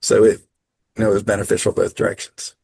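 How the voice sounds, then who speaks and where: A man presenting on a video call training, speaking in a measured, practical way with frequent ums. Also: lowish voice, monotone, vocal fry, mellow, breathy edge. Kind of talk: online training talk.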